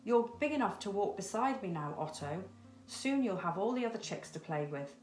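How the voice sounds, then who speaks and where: A woman's voice: medium pitch, animated, moderate pace, darkish tone, roomy sound, soft background music, close to an everyday voice. A woman voicing a caring grown-up male penguin father in a storybook, patient, firm and reassuring.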